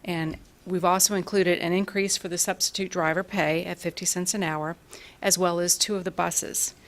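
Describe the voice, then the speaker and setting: A woman's voice: lowish voice, steady tone, normal speed. A woman finance officer presenting budget figures at a school board meeting in a businesslike way, correcting herself as she goes.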